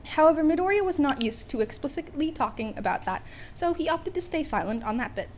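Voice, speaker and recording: A woman's voice: high voice, expressive, brisk, slight background noise. A woman reading a scripted comic story as an amateur narrator, wry in manner, sometimes stumbling and rereading phrases. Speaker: amateur audiobook reader.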